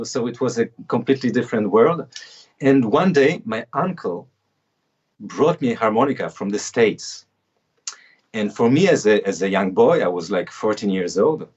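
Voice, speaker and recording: Husky Polish-accented man on a livestream. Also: medium pitch, darkish tone, muffled recording. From Poland. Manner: halting, many restarts and fillers. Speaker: guest musician. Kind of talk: casual livestream interview. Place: home.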